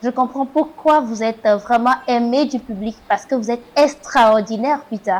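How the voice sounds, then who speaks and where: A woman hosts a talk show and asks her guest questions, pausing often on fillers. Her voice sits on the higher side. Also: a little mellow. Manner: hesitant, many fillers. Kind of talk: interview questions.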